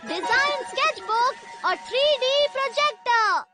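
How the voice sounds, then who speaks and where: A helpful cartoon robot cat with an extremely high, childlike voice. The cat explains things patiently and announces its gadgets, with music clearly playing behind. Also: female voice, animated, takes it slowly, full character voice. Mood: exclaiming. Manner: explanatory, exclaims gadget names. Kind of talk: dubbed cartoon acting.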